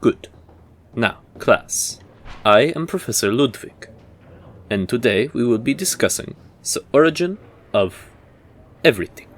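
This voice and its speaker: A man playing a formal history professor in an audio roleplay, lecturing in a measured, deliberate manner. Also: medium pitch, lively intonation. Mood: stern, dry. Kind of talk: roleplay audio drama acting.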